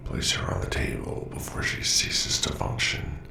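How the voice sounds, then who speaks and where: A man's voice: moderate pace, pushed and bright. A man voicing a cold, logical, menacing robot scientist in an audio drama, speaking formally and with condescension. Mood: cold, impatient. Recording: distant, echoey, noisy voice track.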